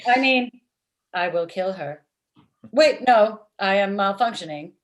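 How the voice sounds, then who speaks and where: A woman's voice: mid-range voice, expressive, measured pace, close to an everyday voice. A woman playing a blunt, lovestruck robot matchmaker with stilted, literal speech in a comedy table read over video call. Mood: blunt, insistent. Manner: stilted, uncontracted, machine-like phrasing.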